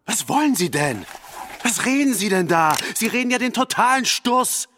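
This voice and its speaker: An actor playing an irritable, hostile boatman in a radio play, gruff and dismissive. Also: high-pitched, very animated, moderate pace, sharp tone, slight background noise, full character voice. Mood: exasperated, dismissive. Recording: long reverberant hall echo.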